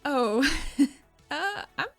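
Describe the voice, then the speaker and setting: Easygoing lawwoman played by a woman, tabletop roleplay: high register, dryly understated, light music behind. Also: lively intonation, drawn-out words, a bit bright, heavily acted. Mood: polite, wry. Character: casual, wry female regulator, tough but lonely.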